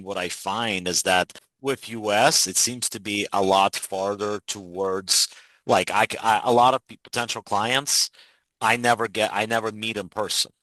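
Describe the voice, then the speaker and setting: Low-voiced forceful man hosting a podcast. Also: no room sound, rough recording. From Ukraine. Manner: earnest, persuasive, with frequent restarts. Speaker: podcast host. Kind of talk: casual interview chat.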